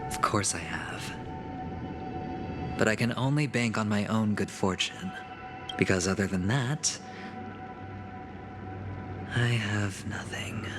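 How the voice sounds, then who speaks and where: A man voicing a suave, fatalistic gambler in a game, wry and quietly vulnerable, musing theatrically. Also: lowish voice, takes it slowly, pauses often, over loud music. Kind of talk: dramatic game voice acting.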